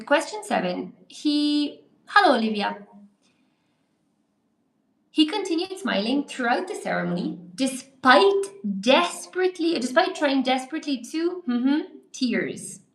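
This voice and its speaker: A woman with a fairly high voice teaches English live online, answering viewers' messages between quiz questions. She speaks in a chatty, encouraging way while she types. The sound is roomy, with noticeable echo. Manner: chatty, encouraging, reacts to chat while typing. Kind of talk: live-stream lesson reading viewer comments. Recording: echoey room.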